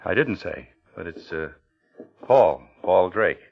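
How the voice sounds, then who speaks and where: An American actor in middle age playing an anxious, coolly polite killer, narrating in clipped, intense phrases in old radio drama style. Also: male voice, deep voice, lively intonation, slow pace, creaky, exaggerated voice. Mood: guarded, polite. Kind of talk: 1940s-50s radio suspense acting, narrating. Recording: muffled old radio recording, organ music behind.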